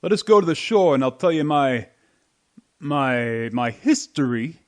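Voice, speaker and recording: A man's voice: fairly high pitch, animated, measured pace. A man playing a touchy, indignant talking mouse in a radio play, huffy and sputtering.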